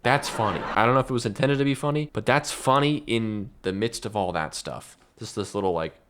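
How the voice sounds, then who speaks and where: A man's voice: lowish voice, fairly quick, a bit bright, a little hiss or hum. A man giving casual, informal reaction commentary as a video host, often sounding puzzled and repeating his questions.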